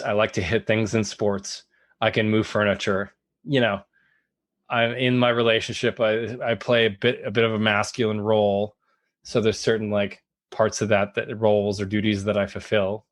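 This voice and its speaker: Low-voiced man in earnest casual chat. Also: dry recording, studio quality. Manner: rambling, earnest, laughs easily. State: hot and sweating. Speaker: podcast guest, men's group facilitator. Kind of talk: casual conversational interview.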